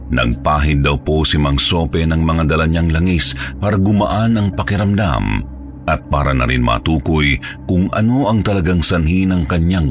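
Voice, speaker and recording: A man narrating a scripted horror story as its storyteller. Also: extremely low pitch.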